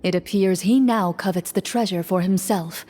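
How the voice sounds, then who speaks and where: A woman voicing a measured game master narrator. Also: medium pitch, dark tone, lightly acted. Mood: formal. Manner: measured, formal. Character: calm female game master, formal. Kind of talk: video game voice acting.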